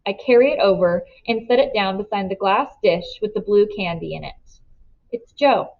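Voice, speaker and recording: A woman narrating a children's novel aloud in an expressive storytelling style. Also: medium pitch, fairly quick, creaky, highs cut off.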